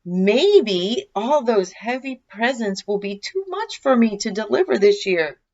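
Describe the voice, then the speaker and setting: A gentle, kindly old Father Christmas figure from a storybook, acted out by a woman. The delivery is reassuring and unhurried in manner, and the recording sounds slightly dull. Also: on the high side, very animated, voice pushed up. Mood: apologetic, weary. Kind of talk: children's storybook character voice.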